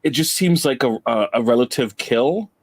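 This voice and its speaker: A man with an average-pitched voice takes part in an informal debate. He lays out his points in a measured way, often seeking agreement. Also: expressive. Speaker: guest panelist. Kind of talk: casual debate about horror films.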